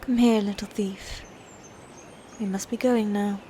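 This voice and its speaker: A woman playing a proud, bitter female knight in a fantasy ASMR roleplay, breathy and often near a whisper, with formal, commanding diction. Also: mid-range voice, steady tone, measured pace, dark tone, a little hiss or hum, lightly acted. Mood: cold, threatening.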